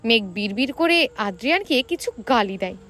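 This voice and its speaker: A woman reading a scripted romantic story as an expressive narrator. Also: fairly high pitch, fairly quick.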